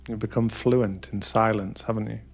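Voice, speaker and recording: A man narrating a scripted ASMR guided meditation in a hushed, deliberate, intimate and dramatic manner. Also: on the low side, steady tone. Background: music.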